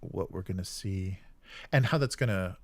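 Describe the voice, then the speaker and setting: A man's voice: low-pitched, moderate pace, a little mellow, no room sound. A man hosting a casual live stream, rambling and thinking aloud as he reacts to chat comments.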